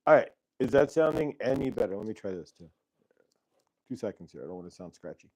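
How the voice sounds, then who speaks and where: A man's voice: lowish voice, very animated, quick pace, a little mellow, no room sound, low-quality recording. A middle-aged man hosting a casual live stream, rambling and thankful, with lots of fillers and restarts, speaking into a clip-on mic. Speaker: host. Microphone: clip-on transmitter mic.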